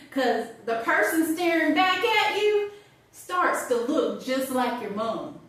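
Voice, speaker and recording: A woman's voice: high-pitched, pitched above the performer's own voice. Woman playing a wry older woman, very echoey. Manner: conversational, wry, with pauses and hesitations. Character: chatty, wry older woman reflecting on her birthdays. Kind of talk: theatrical audition monologue. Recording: distant, very echoey room.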